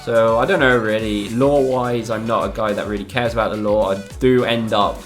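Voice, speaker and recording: A young man with a lowish voice, a gaming YouTuber from Spain, reads out fan questions and answers them casually. He hedges a lot and pauses on "um". Faint music plays under his voice. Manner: relaxed, hesitant, full of ums and "I don't know".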